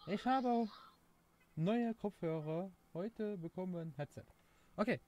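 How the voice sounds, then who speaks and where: A man's voice: high voice, a little slow, a little mellow. A young man from Luxembourg with a Luxembourgish accent, chatting casually while streaming a game, rambling and hunting for words. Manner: rambling, laughing, self-mocking, searches for words. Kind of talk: casual gameplay stream chat.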